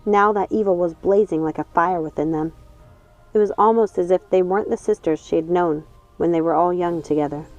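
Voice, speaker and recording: A woman's voice: fairly low pitch, fairly even intonation, a little fast, darkish tone, no room sound. A woman reading a storybook as a scripted audiobook narration. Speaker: audiobook reader. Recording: dull lo-fi sound, music underneath. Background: music.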